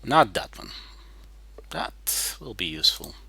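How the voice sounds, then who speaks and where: A man playing a strategy game and thinking aloud in terse, halting remarks, muttering to himself as he weighs his moves. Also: deep voice, big pitch swings, vocal fry, sharp tone, a little hiss or hum. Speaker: board game player.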